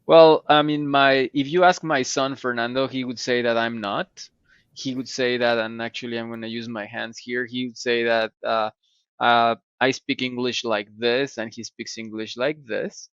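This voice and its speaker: A gravelly-voiced man with a Mexican Spanish accent answers questions in English, stopping and restarting his phrases often. He sounds like he is in his forties. His voice comes over a dry, somewhat muffled video call. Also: mid-range voice, little pitch movement, fairly bright tone, dry recording. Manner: halting, many fillers and restarts. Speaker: guest, computer scientist. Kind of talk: casual interview answers. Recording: slightly dull video call audio.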